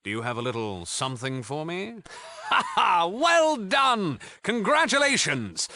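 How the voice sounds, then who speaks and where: A man's voice: sits high, big pitch swings, measured pace, sharp tone, heavily acted. A man with a British accent playing a cocky, mock-polite thief gang leader in a video game, theatrical and sarcastic. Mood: breezy, charming.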